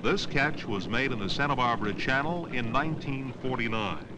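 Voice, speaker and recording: A man's voice: mid-range voice, lively intonation, normal speed. Man narrating a noisy old educational film. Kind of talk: scripted educational documentary narration, around 1970.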